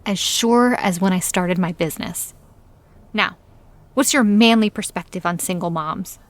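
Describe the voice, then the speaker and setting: A woman's voice: on the low side, a little slow, dry recording, natural voice. An actress playing an independent, teasing woman with a casual lover in an audio drama, dry and frank.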